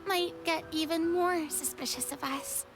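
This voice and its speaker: A woman's voice: very high-pitched, steady tone, unhurried, over music. An actress voicing a bubbly but wary young woman in a video game.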